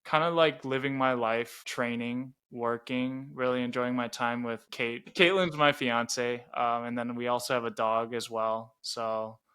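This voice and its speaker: A young man from the Chicago suburbs talks through his life story in a loose, easygoing way, full of fillers. His voice is bright and ringing, and it comes through smooth and clean. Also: medium pitch, measured pace, hardly any pauses. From Chicago suburbs, Illinois. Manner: relaxed, rambling, many "like" fillers. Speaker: podcast co-host. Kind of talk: casual chat.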